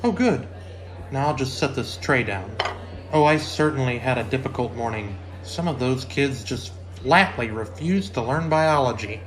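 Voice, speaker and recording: A man's voice: mid-range voice, normal speed, lightly acted, rough recording. A man playing a polite, oblivious biology teacher in an old-time radio comedy, earnest and naive. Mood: earnest, mild.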